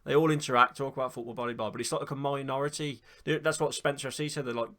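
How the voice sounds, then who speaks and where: A man hosts a relaxed football podcast. He talks quickly and loosely in a chatty flow, with the odd casual swear. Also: medium pitch. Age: 20s. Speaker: podcast host.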